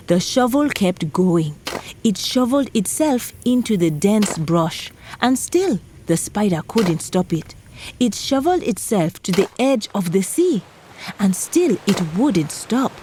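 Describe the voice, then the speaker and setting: A woman's voice: medium pitch, warm tone, a little hiss or hum. A woman hosting a children's folktale podcast, telling a scripted story theatrically and speaking straight to young listeners.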